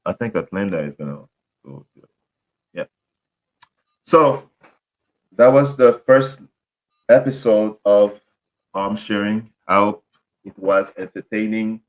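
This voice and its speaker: A man's voice: on the low side, slow, pauses often, low-quality recording. A man hosting a solo podcast, speaking English as a second language after French and Haitian Creole, rambling and correcting himself as he goes. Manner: rambling, self-correcting, apologetic. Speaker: podcast host. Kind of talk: casual solo sports talk.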